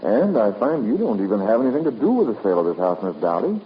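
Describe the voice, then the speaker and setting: An actor playing a brisk, skeptical private investigator in a radio drama, with a direct, questioning manner. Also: male voice, lowish voice, sing-song. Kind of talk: 1950s radio drama acting. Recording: dull, noisy 1950s radio recording.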